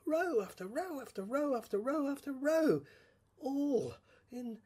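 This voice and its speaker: A woman playing a tormented, haunted dreamer in an audio drama monologue, halting and repetitive, with a husky voice that slips into whispers. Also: sits high, a little mellow, full character voice. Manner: halting, repetitive, intense delivery. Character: tormented, haunted dreamer recounting a surreal inner ordeal.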